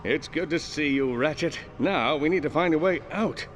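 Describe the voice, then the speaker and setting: A man performs a self-important, dim space hero from a video game, full of boasts. The voice is somewhat high for a man, set against loud music. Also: normal speed, close to an everyday voice. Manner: self-important, mock-heroic. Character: pompous, bumbling, boastful heroic alien captain. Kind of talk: video game cutscene acting.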